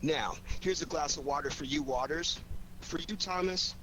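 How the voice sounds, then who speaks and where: An actor playing a cool, confident amateur detective in a 1940s radio drama, with a theatrical, commanding delivery. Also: male voice, fairly high pitch, moderate pace, close to an everyday voice. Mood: deliberate. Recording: noisy 1940s radio recording.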